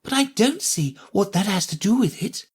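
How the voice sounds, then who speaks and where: A man's voice: high voice, animated, moderate pace, husky, voice pushed up. A man putting on a timid, polite little girl. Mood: curious. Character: polite curious young girl.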